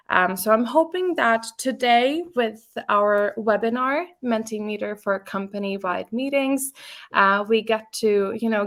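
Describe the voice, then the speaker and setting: A woman presenting a webinar over a computer mic, her slightly high voice carrying a gravelly fry. She talks casually and warmly to her audience, leaning on fillers as she goes. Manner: casual, friendly, many fillers. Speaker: webinar host, content marketing specialist.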